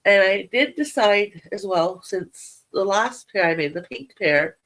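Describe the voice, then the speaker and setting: A woman's voice: mid-range voice, animated, measured pace, fairly bright tone, low-quality recording. A middle-aged woman, nasal from a head cold, chatting casually and rambling a little as she shows her knitting to viewers. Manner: chatty, rambling, self-deprecating. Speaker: yarn crafting vlogger. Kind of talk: casual show-and-tell chat.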